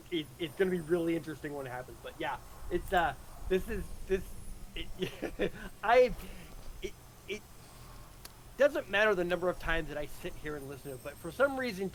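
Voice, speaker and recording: Casting: man, animated higher voice, casual stream host who stumbles over repeats, faint noise behind. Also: rough recording. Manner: halting, repeats words. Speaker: streamer, audio drama creator. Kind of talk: casual live stream chat.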